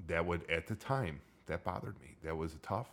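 A middle-aged man with a low voice hosting a casual chat, joking and rambling with many restarts. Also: normal speed. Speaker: podcast host.

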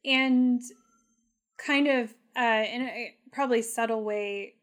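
A woman's voice: on the high side, slow pace. A woman co-hosting a podcast, talking things through in a casual, thoughtful way with many "like" fillers. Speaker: podcast co-host. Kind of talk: casual analytical chat.

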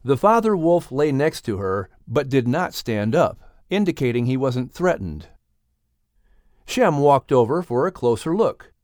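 A man's voice: mid-range voice, big pitch swings, unhurried, a little mellow, dry recording, studio quality. A man hosting and narrating a scripted story for a family audiobook show. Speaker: host and narrator.

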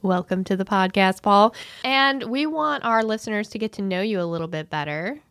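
Creaky-voiced woman podcast co-host. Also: mid-range voice, moderate pace, a bit bright, no room sound, studio quality. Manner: chatty and upbeat, laughs easily. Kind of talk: casual podcast chat.

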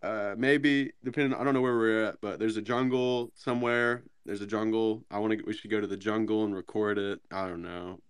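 A man's voice: lowish voice, fairly even intonation, a little fast, hardly any pauses, clear, resonant tone, dry recording, clean studio sound. A man in a casual group chat, slangy and profane, repeating his phrases as he goes.

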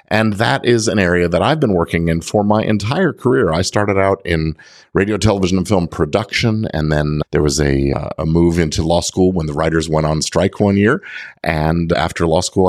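A man's voice: low voice, no room sound, clean studio sound. A middle-aged man hosting a podcast, explaining things step by step to listeners with emphasis on key words. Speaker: podcast host; lawyer.

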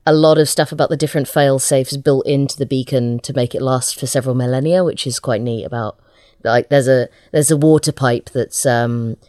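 A woman's voice: very deep voice, a little mellow, dry recording, studio quality. A woman chatting casually as an interview guest, a writer with a hesitant, self-deprecating manner.

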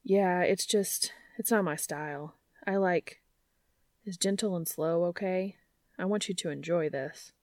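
A woman's voice: fairly low pitch, measured pace, darkish tone, no echo, clean studio sound, lightly acted. A woman voicing a brash, slangy, earnest man in an audiobook-style story. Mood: hesitant, earnest. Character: brash, swearing, tender young-ish man named Dean.

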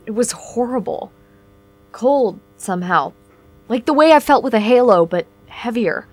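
A woman's voice: a little high, expressive, a little slow, a little mellow, dry recording. An actress playing a blunt, down-to-earth magic user in an audio drama, casual in her speech. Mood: shaken, intense. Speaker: voice actor in a fiction podcast. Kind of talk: scripted audio drama acting.

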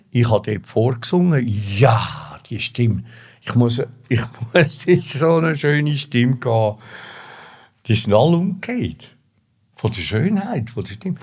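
A man's voice: medium pitch, a little mellow, slightly rough. An older man with a Swiss German accent tells his own story spontaneously, emphatically repeating words for stress. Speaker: interview guest telling his life story.

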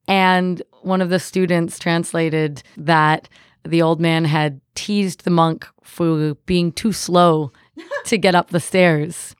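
A woman's voice: fairly low pitch, smooth, no room sound, studio quality. A woman with a North American accent, a touring musician reflecting on her experiences in a personal storytelling style. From Ontario, Canada. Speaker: touring violinist and songwriter. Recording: music bed under the voice.

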